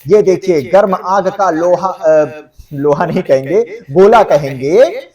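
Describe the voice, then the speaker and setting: ऊँची आवाज़ वाला पुरुष शिक्षक, माइक से दूर. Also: male voice, high voice, expressive, slow, warm tone, recorded from a distance, dull audio. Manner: conversational, repeats check phrases to students. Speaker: exam-coaching geography teacher. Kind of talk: explanatory lecture to students.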